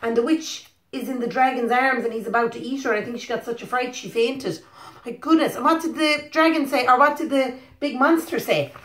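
Preschool storyteller: Irish woman, thirties, highish voice, expressive and questioning, roomy indoor recording. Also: fairly quick. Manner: expressive, questioning, simple child-directed talk. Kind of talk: animated children's story reading with asides. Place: living room, indoors. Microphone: phone or webcam at about arm's length. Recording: echoey living room.

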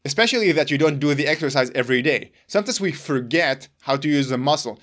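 A man's voice: a little high, a bit bright. A man in his thirties whose first language is Hebrew, earnestly explaining his own exercise routine to camera, gesturing as he talks. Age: 30s. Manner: earnest, gestures with his hands while talking. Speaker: wellness channel host. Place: indoor room in front of gray curtains.

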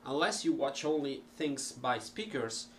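Middle-aged man with an Italian accent, unhurried, halting delivery, home webcam sound with a faint hum behind. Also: medium pitch, animated, a bit bright. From Italy. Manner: slow, rambling, many ums and restarts. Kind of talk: casual talk to camera. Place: cluttered home music room.

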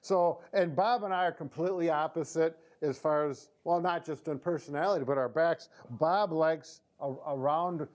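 Male, American, 50s, slightly higher register, casual explanatory physical therapist presenter. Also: moderate pace, few pauses, a little mellow. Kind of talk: casual instructional demonstration. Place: indoor therapy room.

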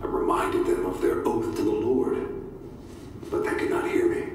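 A man playing a fanatical, devout soldier prisoner in a game audio log, preachy, solemn and menacingly certain. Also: close to an everyday voice. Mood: fervent. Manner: preachy, solemn, slow and deliberate. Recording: echoey hall from far off, faint noise.

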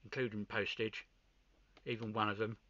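A man's voice: fairly low pitch, level tone, moderate pace, bright, forceful tone, dry recording. A man giving a casual, unscripted equipment walkthrough as a hobbyist, often hesitating and repeating his words. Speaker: amateur radio hobbyist presenting a demo. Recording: dull, lo-fi sound.

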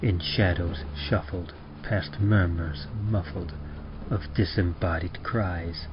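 A man giving a grave, measured, theatrical reading of rhymed horror verse in the manner of old-time radio. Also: low-pitched, fairly even intonation, noisy background. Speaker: podcast presenter reading a horror poem. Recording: dull lo-fi sound under loud music. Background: music.

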